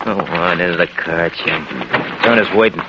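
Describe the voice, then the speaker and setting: A man's voice: low voice, moderate pace, natural voice. An actor playing a tough, wisecracking gangster henchman, slangy and menacing, in 1940s radio crime drama style. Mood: sly menace. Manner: slangy, menacing, repetitive tough-guy talk. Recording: dull, noisy old radio recording.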